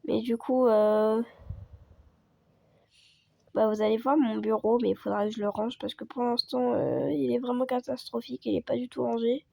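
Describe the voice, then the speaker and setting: A woman chatting casually over gameplay as a video creator, hoarse from a cold and rambling with lots of fillers. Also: on the high side, fairly even intonation, moderate pace, mellow, no room sound. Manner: rambling, full of fillers and restarts.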